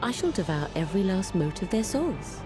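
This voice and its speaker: A woman's voice: mid-range voice, big pitch swings, fairly quick, music in the background, natural voice. Haughty, theatrical fiend villainess voiced by a woman. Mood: amused menace. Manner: theatrical, imperious, disdainful. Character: haughty, predatory female fiend savoring her prey. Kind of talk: scripted video game voice acting.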